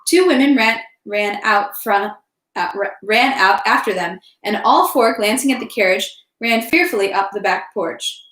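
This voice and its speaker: A woman's voice: mid-range voice, lively intonation, moderate pace, fairly bright tone. A woman hosting an informal livestream read-aloud, speaking in a casual, everyday way. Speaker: livestream host reading a novel aloud. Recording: roomy, echoey livestream sound.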